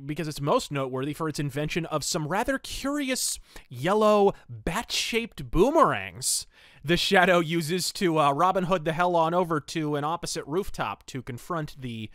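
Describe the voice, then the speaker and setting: A man's voice: a little high, sing-song, normal speed, fairly bright tone, no echo, clean studio sound. A man hosting a solo review podcast, with a wordy, articulate and flourished way of talking. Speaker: podcast host.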